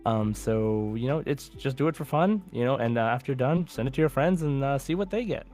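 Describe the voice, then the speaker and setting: A man hosts a casual quiz podcast in a conversational voice of medium pitch. He plays quizmaster and speaks deliberately when reading things out. Faint music plays behind him. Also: darkish tone. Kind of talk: casual hosting of a quiz show.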